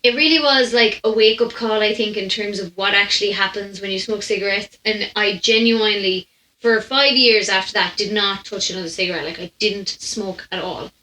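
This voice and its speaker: Young irish woman podcasting, bright voice, chatty off the cuff storytelling w lots of tangents, bit of room echo. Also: mid-range voice, normal speed. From Ireland. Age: young adult. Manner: chatty, rambling with asides and self-interruptions. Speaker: podcast host. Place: small room at home, indoors. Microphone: microphone on a stand, close in front. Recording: roomy home recording.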